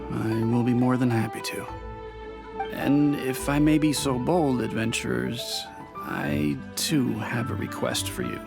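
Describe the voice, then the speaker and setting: An earnest video game innkeeper in a medium-pitched voice. The character is courteous and somewhat formal, with music playing clearly in the background. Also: male voice, animated, slow pace. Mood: polite.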